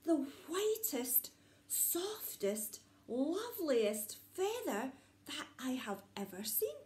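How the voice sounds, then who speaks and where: A woman's voice: sits high, big pitch swings, takes it slowly, darkish tone, pitched above the performer's own voice, exaggerated voice. A woman voicing a lost young male owlet in a storybook, earnest and wide-eyed as he searches for his mother. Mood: excited, wondering. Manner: wide-eyed, earnest, simple childlike phrasing. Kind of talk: storybook character voice. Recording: roomy indoor echo.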